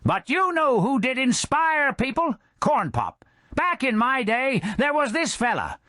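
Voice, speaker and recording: A cranky old skeleton bartender acted out by a man rambles in a high, swooping voice. He is folksy and muddled, with a sharp edge, in a satirical storybook tale. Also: big pitch swings, a little slow, no echo, studio quality, heavily acted. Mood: excited reminiscing.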